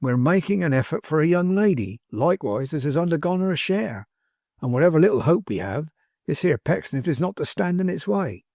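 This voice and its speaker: A man's voice: on the high side, animated, brisk, darkish tone, no echo, studio quality. A man voicing a cheery, loyal young working-class manservant in a storybook, with bold, insistent, colloquial and ungrammatical speech. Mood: defiant, impassioned. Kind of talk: dramatised audiobook character dialogue.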